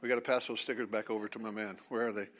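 A man leading a seminar before a live audience, talking in an animated, teasing way and calling on listeners. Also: on the low side, very fast. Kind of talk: lively creationist talk with audience.

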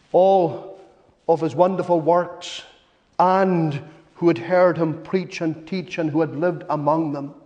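Male preacher, high-pitched voice, very measured pace, emphatic rhetorical sermon delivery. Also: a little mellow. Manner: emphatic, rhetorical repetition with dramatic pauses. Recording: long reverberant hall echo.

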